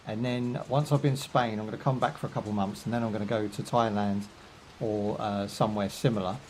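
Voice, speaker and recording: A man's voice: on the low side, steady tone, brisk, a little mellow, a little hiss or hum. A young British man chatting casually while he plays a game live, rambling through jokes, asides and fillers. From United Kingdom. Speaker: gaming streamer. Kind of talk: casual live gameplay commentary.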